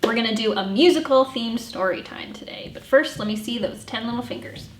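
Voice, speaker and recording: A woman's voice: fairly high pitch, brisk. A woman presenting a children's story time as a librarian, with greetings and rhymes for young children. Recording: echoey room with faint background noise.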